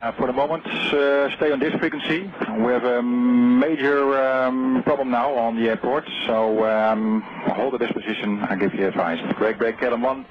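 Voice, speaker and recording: A man's voice: mid-range voice, fairly even intonation, moderate pace, hardly any pauses. Hesitant male controller on muffled radio. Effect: aviation radio. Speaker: air traffic controller. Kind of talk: radio instructions. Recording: muffled radio with faint noise.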